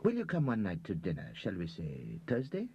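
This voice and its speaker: A man voicing a refined widow with a French accent, who speaks in a gracious, breathy, courteous way. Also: lowish voice, wildly swooping pitch, normal speed, dull audio, a little hiss or hum.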